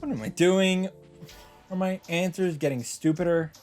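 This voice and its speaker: A man's voice: sits high, normal speed, a little mellow, soft background music. A man streaming a visual novel, reading the narration aloud and adding casual asides of his own.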